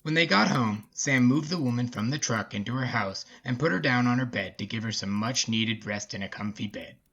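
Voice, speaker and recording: A man's voice: medium pitch, a little fast, gravelly, fairly bright tone, muffled recording, slight background noise. A man hosting a story podcast, talking and narrating in a casual, folksy way.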